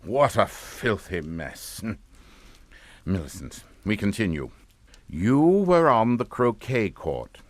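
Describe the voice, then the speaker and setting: Casting: controlling English patriarch in suspense radio play; clipped, commanding, measured pace, close dry sound. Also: male voice, fairly low pitch, very animated, dry recording. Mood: probing, grave. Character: stern, controlling English father, cold and calculating. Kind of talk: radio suspense drama acting.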